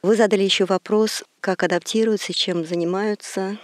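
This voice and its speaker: A middle-aged woman from Russia, working as a court interpreter, giving measured and reflective answers with small hesitations in an interview. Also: mid-range voice, fairly even intonation, no echo.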